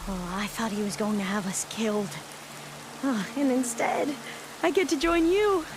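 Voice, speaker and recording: A woman's voice: a little high, normal speed, natural voice. An actress playing an anxious, earnest young female scholar in a video game, nervous and hesitant in her speech. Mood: relieved, flustered. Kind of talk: dramatic video game acting. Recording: clear rain noise behind. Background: rain and running water.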